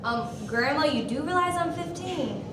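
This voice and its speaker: A woman's voice: sits high, lively intonation, moderate pace. A young female performer playing a bored, sceptical fifteen-year-old grandchild in a school stage story. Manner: dismissive. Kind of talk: school readers' theatre. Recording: echoey, noisy room from far off.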